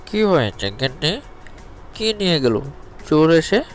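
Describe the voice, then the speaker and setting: A man's voice: a little high, very animated, unhurried, pitched above the performer's own voice. An indignant male farmer acted out by a man in a cartoon fable, exclaiming in a raised, threatening voice. Music plays behind him. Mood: alarmed. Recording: music under the voice.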